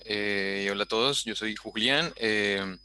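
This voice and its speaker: A man with a Bogotá accent asking a question on a video call, halting and searching for words. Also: lowish voice, pushed and bright, a little hiss or hum. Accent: Colombian (Bogotá). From Bogotá, Colombia. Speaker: audience member asking a question.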